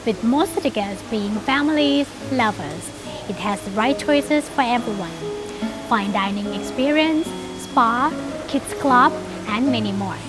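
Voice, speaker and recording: A woman's voice: a little high, lively intonation, unhurried, over music. A woman TV host narrating a travel feature and asking interview questions in a polished presenter's manner.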